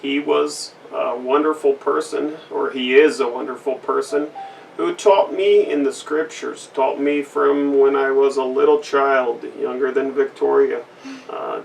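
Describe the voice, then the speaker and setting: Earnest gravelly man, a bit off-mic. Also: mid-range voice, fairly even intonation, distant mic, a little hiss or hum, poor audio. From Brazil. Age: adult with a school-age daughter. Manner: earnest, halting, many fillers. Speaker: missionary kid giving a testimony. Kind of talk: recorded personal testimony. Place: indoor room with a flag behind.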